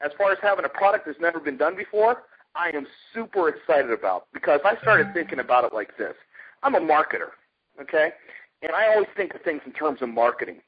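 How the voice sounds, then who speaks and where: An American man, middle-aged, giving an informal, emphatic sales pitch as a network marketer, telling stories and asking rhetorical questions. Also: lively intonation, a little fast, slightly rough. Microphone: telephone conference call. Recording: muffled, dull conference phone line.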